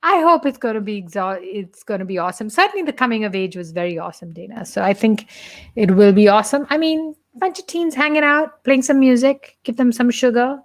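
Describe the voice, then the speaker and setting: A woman's voice: on the high side, animated, a little fast. Chatty woman with an Indian accent. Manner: chatty, playful, jokey asides to viewers. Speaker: church staff host of a live stream. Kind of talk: casual live-stream chat.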